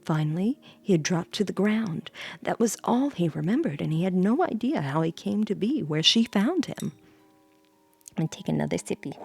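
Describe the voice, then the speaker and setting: Female storyteller, slightly low register with noticeable fry, reading a bedtime tale with casual asides. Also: sing-song, normal speed, darkish tone, soft background music. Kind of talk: storytelling read aloud with chatty asides.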